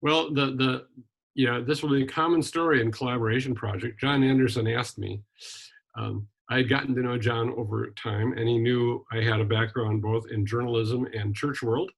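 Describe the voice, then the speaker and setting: An older man from Wisconsin, a retired minister and journalist, telling his life story in a relaxed interview with frequent ums and restarts. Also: medium pitch, normal speed, vocal fry, poor audio. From Wisconsin, USA. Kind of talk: casual conversational interview.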